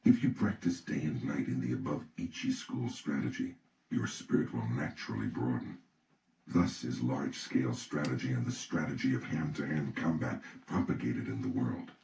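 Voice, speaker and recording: A man reading an audiobook from a script in a solemn, deliberate, instructional way. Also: extremely low pitch, gravelly, a little mellow. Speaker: audiobook reader. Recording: roomy, distant mic, faint noise.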